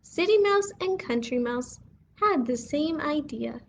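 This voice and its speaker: Slow, high-voiced woman reading bedtime stories. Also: slight background noise. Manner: expressive, addresses child listeners with questions. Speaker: children's story reader and host. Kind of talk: bedtime story read-aloud for young children.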